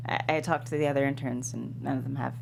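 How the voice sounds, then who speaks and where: An actress playing an earnest, idealistic young intern in a sitcom script read, with an eager, stammering delivery. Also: female voice, extremely low pitch, fairly even intonation, quick pace, gravelly, exaggerated voice. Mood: earnest, hopeful. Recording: noisy room with hum.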